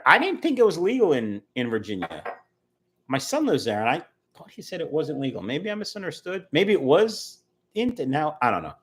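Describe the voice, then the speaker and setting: Man in his fifties, lively pitch, middle-range voice, rambling live-chat host reading comments aloud. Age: 50s. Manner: chatty, rambling, answers viewer comments aloud.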